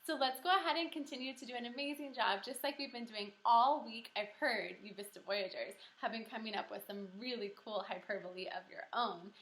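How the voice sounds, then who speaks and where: A woman teaching kindergarten through a read-aloud lesson, encouraging and animated, asking questions and pausing for answers. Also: fairly high pitch. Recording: roomy echo.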